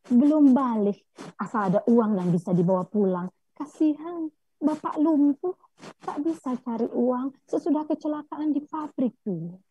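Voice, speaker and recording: A woman reciting poetry in an expressive, plaintive, theatrical way. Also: breathy edge. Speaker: poet reading own poem. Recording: echoey, muffled low-fidelity audio.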